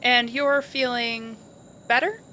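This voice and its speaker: A woman's voice: on the high side, steady tone, slow pace, bright, forceful tone, a little hiss or hum. A woman playing a scrappy, determined and protective young leader in an audio drama, casual, blunt and conspiratorial. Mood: defensive, earnest.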